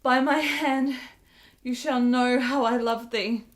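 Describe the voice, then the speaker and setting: An actress playing a frail, lovesick woman in a radio play, desperately adoring, with rapturous, sighing, drawn-out delivery. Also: high-pitched, steady tone. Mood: rapturous adoration.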